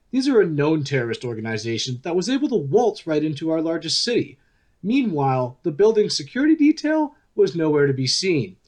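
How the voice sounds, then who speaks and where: A probing news podcast host for a radio play. His fairly high voice swings with lively intonation, and he presents in a polished, earnest, deliberate style.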